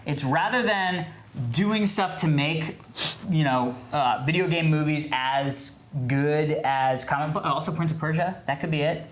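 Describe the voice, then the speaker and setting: Guy co-hosting, gets animated making his case, talks over people, roomy echo on him. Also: a little high, measured pace. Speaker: co-host. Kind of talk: casual debate on a podcast. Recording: echoey room.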